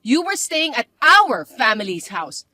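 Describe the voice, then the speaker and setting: A woman voicing a spoiled young stepsister who taunts and bosses in a shrill, mocking way. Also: sing-song, measured pace, bright, forceful tone, no echo, studio quality, exaggerated voice. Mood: taunting.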